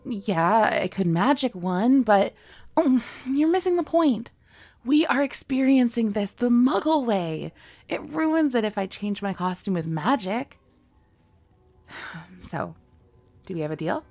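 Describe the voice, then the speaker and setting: A woman playing an excitable, bookish, friendly young witch student in a roleplay, chatty and earnest, speaking straight to the listener. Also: lowish voice, no echo. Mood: earnest, enthusiastic, then excited. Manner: chatty, rambling, giggly, earnest. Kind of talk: scripted one-sided roleplay addressed to the listener.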